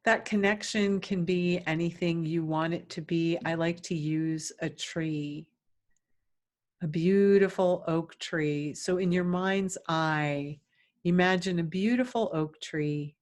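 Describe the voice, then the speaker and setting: A woman leading a guided meditation as a teacher, in a gentle, soothing, instructive way with long pauses between phrases. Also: on the low side.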